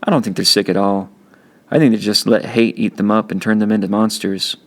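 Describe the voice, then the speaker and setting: Audiobook big brother, teenage boy, acted by a man: slangy, blunt, protective, with a creaky edge. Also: lowish voice, flat delivery, a little fast, natural voice. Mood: gently reassuring. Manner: blunt, slangy, gruffly reassuring. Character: tough but caring adolescent big brother. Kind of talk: audiobook character acting.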